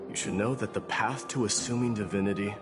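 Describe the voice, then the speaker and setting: Man acting a deep, stoic warrior prince. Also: over loud music, natural voice. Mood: solemn, resolute. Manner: formal, measured, grave. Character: stoic warrior prince and new demigod, solemn, courteous. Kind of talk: fantasy game voice acting.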